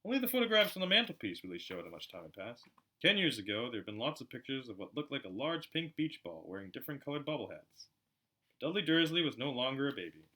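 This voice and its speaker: A man with a very bright, forward voice reads a story aloud. His pitch moves widely and animatedly at a middle register, in the informal way of a home audiobook reader. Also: sing-song, fast, poor audio. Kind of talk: animated storybook narration with chatty asides.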